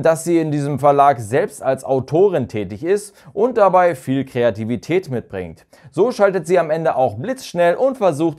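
A man in his thirties presenting a scripted review in measured, formal phrasing. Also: on the high side, a little mellow. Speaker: reviewer, channel host. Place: small furnished room indoors.

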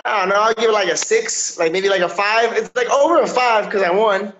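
A man's voice: high voice, brisk, a bit bright. A man in his thirties from Miami, a fighter talking casually on a video call, peppering his speech with "yo" and "you know what I'm saying". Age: 30s. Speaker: MMA fighter, guest. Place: indoors, small room. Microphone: video call webcam. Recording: slightly dull lo-fi call audio.

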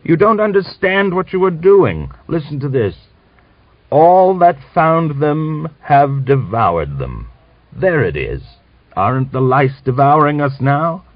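A scolding, pious old man of nearly a hundred rails at the young and reads scripture in a slow, solemn cadence, as a male narrator puts him on. His voice rises high and swings widely. The recording sounds dull and dry. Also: sing-song, no room sound, exaggerated voice. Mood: bitter. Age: older.

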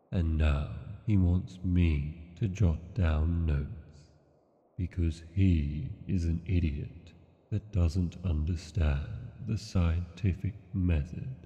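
A man playing an arrogant, brooding dragon king in a fantasy ASMR audio roleplay, speaking deliberately with long pauses and a haughty manner. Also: sits low, warm tone, heavily acted. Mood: musing, disdainful. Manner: slow, deliberate, pausing, haughty. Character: arrogant, brooding male dragon king reading his journal. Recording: muffled, long cavernous reverb.

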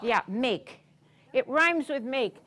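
Older American woman, animated high-pitched story time reader. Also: slow pace. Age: 60s. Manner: teacherly, sing-song, prompting with questions. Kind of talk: reading a picture book aloud to young children, interactive. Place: carpeted library program room, indoors.

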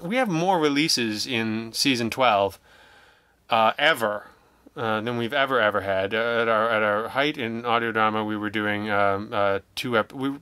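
A man speaking as a guest in a casual interview, wry and self-deprecating, telling things in an animated way. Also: on the low side, unhurried, clean tone, dry recording. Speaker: interview guest, audio drama writer and producer.

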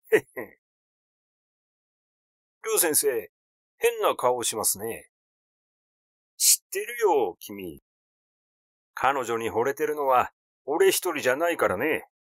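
Man voicing a rash, animated schemer. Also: mid-range voice, takes it slowly, pauses often, no room sound, clean studio sound, heavily acted. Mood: sly, teasing. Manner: blunt, teasing, effusive, rambling. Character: Kyoroku, rash, glib, excitable man given to flattery and schemes. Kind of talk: literary audiobook character dialogue.

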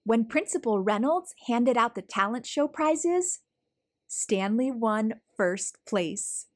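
Slow, expressive woman reading a storybook. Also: fairly high pitch. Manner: expressive, deliberate phrasing. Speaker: storybook reader, host. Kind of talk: children's read-aloud narration.

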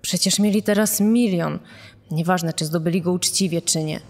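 An actress playing a puzzled television crew member giving conversational testimony in a true-crime dramatization. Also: female voice, fairly low pitch, normal speed, a little mellow, long reverb tail.

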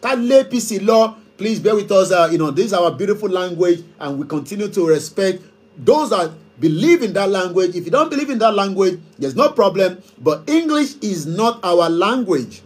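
A man's voice: sits high, expressive, normal speed. A man in his forties with a Yoruba Nigerian accent, hosting call-in radio and reading listener comments in an emphatic, questioning way. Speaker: radio host. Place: studio room before green screen.

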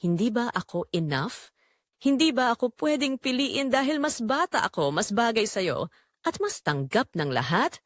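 A woman plays a young suitor who has been turned down in a romance audio drama. The lines come out wounded and earnest, pleading in swinging pitch, with a dry, close sound. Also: big pitch swings, normal speed, no room sound. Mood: hurt, pleading. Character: rejected young male suitor, hurt and pleading.

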